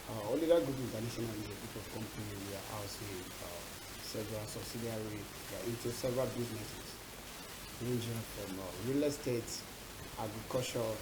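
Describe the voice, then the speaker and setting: A man with a Nigerian accent speaking formally as an interview guest, in deliberate phrases with rhetorical questions. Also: mid-range voice. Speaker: company chairman, interview guest. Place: TV studio set. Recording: echoey, noisy, hissy audio.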